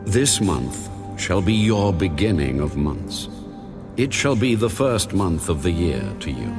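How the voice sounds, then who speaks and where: Almighty deity, deep, widely swelling intonation, solemn, commanding, music behind. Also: male voice, very animated, measured pace. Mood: authoritative instruction. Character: God, majestic, authoritative male deity giving commands. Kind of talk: dramatised audio Bible acting.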